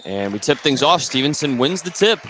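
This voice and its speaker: A man's voice: mid-range voice, sing-song, a little fast, noisy background. Man calling live basketball play-by-play. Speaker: play-by-play radio sportscaster. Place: indoor high school gym.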